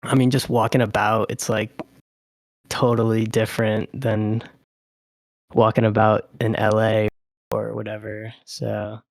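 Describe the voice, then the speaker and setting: A man's voice: fairly low pitch, unhurried, vocal fry, dry recording. A young man from Japan, a podcast guest, chatting casually and rambling with lots of fillers. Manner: relaxed, rambling, heavy on fillers. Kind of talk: casual chat.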